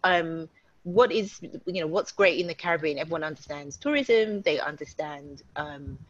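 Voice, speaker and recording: A woman's voice: low voice, moderate pace, dry recording, muffled recording. A British-born woman talking as a guest in a casual online interview, chatty, with many fillers. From United Kingdom.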